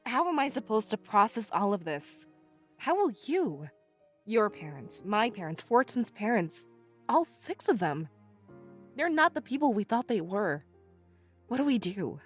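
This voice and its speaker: A woman's voice: medium pitch, sing-song, unhurried, breathy edge. A woman playing a distraught, vulnerable young pirates' daughter in a video game, emotional and pleading. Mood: distressed. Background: music.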